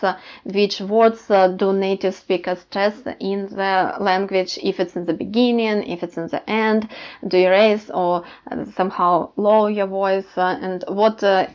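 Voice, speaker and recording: A woman with a Ukrainian accent, a language teacher talking casually to learners and pausing often with "uh" fillers. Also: mid-range voice, muffled recording. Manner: many "uh" fillers, thoughtful.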